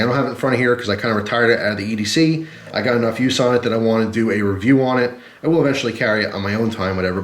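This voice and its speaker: A man with a stuffy, head-cold voice chatting casually and informally to camera as the host of a gear review vlog, rambling along in a conversational way. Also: on the low side. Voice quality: congested, stuffy-headed. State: sick with a cold and flu. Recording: echoey, roomy sound.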